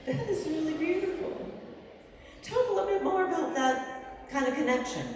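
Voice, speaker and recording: A woman interviewing a musician onstage, asking gentle, reflective questions. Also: extremely high pitch, lively intonation, normal speed, distant mic, slight background noise. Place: live music venue. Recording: echoey hall heard from afar.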